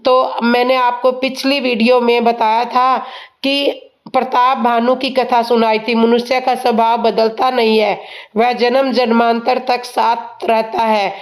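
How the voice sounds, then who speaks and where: A woman tells a devotional story at an unhurried pace, humble and earnest. Her throat is sore, so the voice sounds hoarse. Her pitch sits a little high but barely moves, keeping the telling even and steady. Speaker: storyteller of religious tales.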